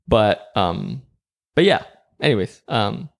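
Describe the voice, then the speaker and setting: A man from Mexico hosting a podcast, chatting casually and rambling with many "like"s and animated quoted voices. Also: lowish voice, slow pace, gravelly. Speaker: podcast host. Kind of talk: casual chat.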